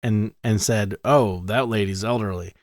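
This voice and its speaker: A man's voice: lowish voice, normal speed, no room sound. Rambling male podcast co-host. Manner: rambling, animated, stammers on restarts. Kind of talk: casual chat.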